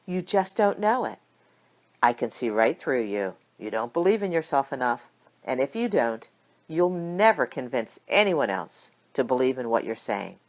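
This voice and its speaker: A woman voicing a tough, knowing male law professor in an audiobook, firm and measured as he instructs. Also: extremely low pitch, very animated, voice pushed down. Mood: stern, encouraging.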